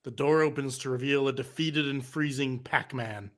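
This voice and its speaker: A man's voice: mid-range voice, moderate pace, fairly bright tone. A man giving scripted dramatic narration of a cartoon script at a table read.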